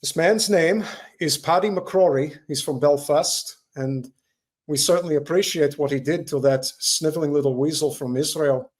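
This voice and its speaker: Earnest man in casual talk. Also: fairly high pitch. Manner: earnest, halting, repeats himself. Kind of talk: casual political chat.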